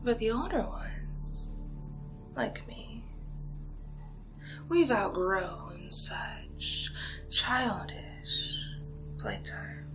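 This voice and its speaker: A woman playing an ancient, seductive female vampire in a whispered ASMR roleplay, taunting her prey in a breathy, theatrical way with drawn-out pauses. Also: medium pitch, big pitch swings, poor audio. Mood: playful menace. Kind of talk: ASMR roleplay monologue, soft-spoken and whispered. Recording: music behind the voice. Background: music.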